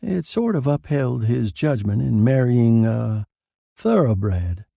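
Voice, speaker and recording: A man's voice: mid-range voice, lively intonation, takes it slowly, vocal fry, mellow, no room sound, studio quality, full character voice. A man voicing a vain, languid nightclub singer, a wife who drawls with lazy self-satisfaction in a dramatic story reading. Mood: smug, languid.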